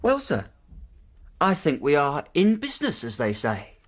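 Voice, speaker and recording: Eager young clerk, very animated. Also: male voice, a little high, measured pace, full character voice. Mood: grateful, eager. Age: young adult. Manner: deferential, hesitant, earnest. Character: modest, eager, naive young male stockbroker's clerk. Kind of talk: radio drama acting.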